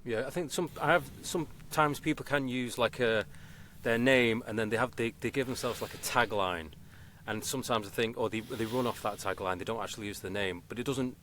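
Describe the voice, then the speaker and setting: A man from Manchester with a soft Manchester accent, hosting a casual podcast chat, chatty and quick to laugh. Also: mid-range voice, a little hiss or hum. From Manchester, England. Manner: quick, chatty, laughs easily, many restarts. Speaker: podcast host.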